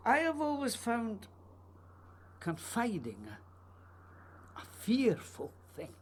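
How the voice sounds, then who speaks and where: A woman playing a proud, secretive male playwright in a radio play, speaking formally and deliberately in a husky voice. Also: fairly high pitch, wildly swooping pitch, a little mellow, slight background noise, full character voice. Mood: guarded.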